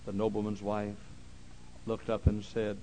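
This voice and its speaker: A man preaching a sermon, repeating phrases and swinging from quiet, slow talk to loud shouting. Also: on the low side, monotone, slight background noise, poor audio.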